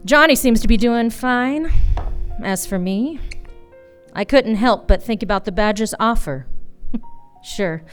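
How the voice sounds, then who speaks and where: A woman's voice: a little high, lively intonation, measured pace, faint music behind. A woman playing a dedicated, hard-boiled private detective in a noir radio play, wry and resolute as she narrates. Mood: wry, reflective.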